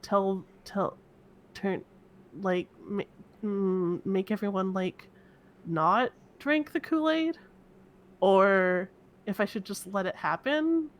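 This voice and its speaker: A woman playing a giddy, rambling cultist murderer in improvised online roleplay, with halting, repetitive speech. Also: medium pitch, close to an everyday voice. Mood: fretful, rambling.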